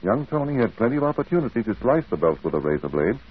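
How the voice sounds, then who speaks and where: A fast, husky private detective. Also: male voice, medium pitch, very animated. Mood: brisk, firm. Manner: brisk, matter-of-fact. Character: brisk, matter-of-fact male private detective. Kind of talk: old radio drama acting. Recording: muffled old radio broadcast.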